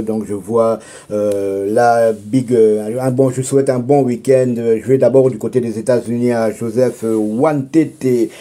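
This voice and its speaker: A man's voice: medium pitch, dark tone. A man hosting a casual football talk show, speaking with many hesitations, fillers and restarts.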